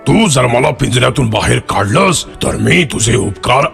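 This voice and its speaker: A man voicing a cunning, sweet-talking, ungrateful lion in a cartoon moral story, with drawn-out theatrical delivery. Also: mid-range voice, lively intonation, gravelly, a bit bright, soft background music. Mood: coaxing, flattering.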